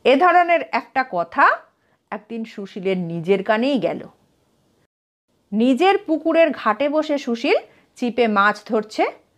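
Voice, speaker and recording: A woman storyteller reading a literary story aloud in an expressive narrating style. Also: a little high, slow pace, long pauses.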